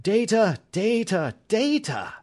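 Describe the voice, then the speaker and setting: A man reading an audiobook, voicing a sharp, aloof male detective in a crisp, assured and clipped manner. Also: on the high side, very animated, normal speed, pitched above the performer's own voice. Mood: impatient.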